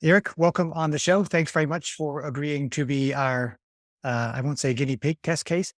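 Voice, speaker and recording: A man in his fifties hosting a podcast, asking questions in a friendly, measured way. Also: fairly high pitch, steady tone, no echo, clean studio sound. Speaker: podcast host. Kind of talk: hosted interview.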